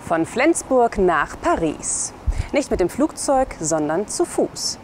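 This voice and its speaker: Female news presenter, young, animated intonation, mid pitch, faint outdoor ambience. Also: slow. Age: young adult. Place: outdoors by a building and trees. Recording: faint outdoor city noise.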